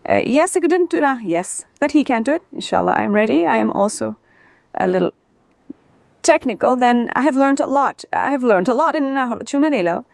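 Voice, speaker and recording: Female interview guest, high voice, dry close sound. Emphatic personal storyteller who repeats phrases for weight. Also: expressive, moderate pace, creaky, darkish tone, dry recording. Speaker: interview guest, former sprinter.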